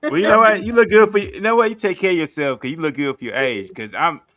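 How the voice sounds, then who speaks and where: A man's voice: high-pitched, quick pace, a bit bright, no echo, studio quality. A man in his forties co-hosting a podcast, talking informally and emphatically and often repeating himself. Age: 40s. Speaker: podcast co-host. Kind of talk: casual chat.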